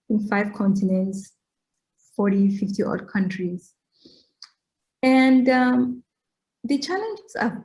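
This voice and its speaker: A woman's voice: mid-range voice, dark tone, low-quality recording. A middle-aged woman from Cameroon, answering interview questions in an earnest, reflective way and often checking in with "right?". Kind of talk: conversational Q&A talk, advice-giving.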